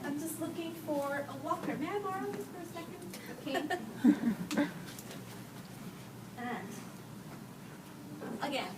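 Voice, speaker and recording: A woman's voice: high-pitched, noisy background. A woman physical therapist giving a friendly talk to a group, laughing often and checking they follow. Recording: echoey hall, mic far off.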